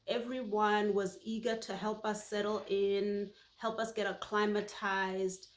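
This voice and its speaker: A woman in her forties from Botswana, whose first language is Setswana, telling personal stories in a warm, heartfelt way. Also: medium pitch, reverberant. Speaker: YouTube host. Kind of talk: personal reflective monologue.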